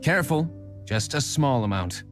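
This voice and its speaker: A man plays a brooding young gentleman from a video game. His mid-pitched voice is formal and stern, with expressive rises and falls, over soft music. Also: close to an everyday voice. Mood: composed. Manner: formal, measured, well-spoken. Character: aloof young gentleman tavern owner, composed, stern, brooding. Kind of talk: English video game voice acting.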